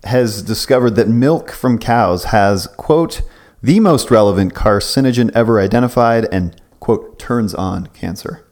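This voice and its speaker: A man's voice: fairly low pitch, measured pace, darkish tone. A man co-hosting a casual podcast, wry and teasing, asking probing questions. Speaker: podcast co-host. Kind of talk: casual chat.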